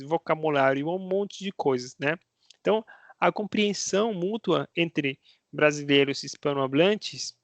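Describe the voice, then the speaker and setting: A man's voice: on the high side, creaky, fairly bright tone, no room sound. A man with a Brazilian Portuguese accent, a Spanish teacher talking casually to his viewers, asking himself rhetorical questions and tagging his sentences with little checks. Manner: conversational, asks himself rhetorical questions, tags lines with "né?". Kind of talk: casual explanatory video talk to viewers.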